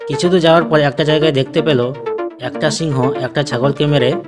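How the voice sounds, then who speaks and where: A man's voice: on the high side, steady tone, a little fast. A man narrating a scripted children's animal story as the storyteller of a cartoon. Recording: loud music under the voice.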